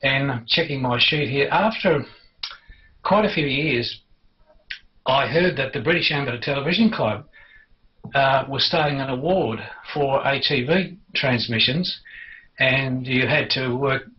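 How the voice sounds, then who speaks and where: An older man with an Australian accent tells his own story off the cuff, pausing and picking his way through the details. His voice sits at an ordinary male pitch. The sound is dull and lo-fi, like amateur video. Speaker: amateur television enthusiast recounting the past. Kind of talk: unscripted personal reminiscence.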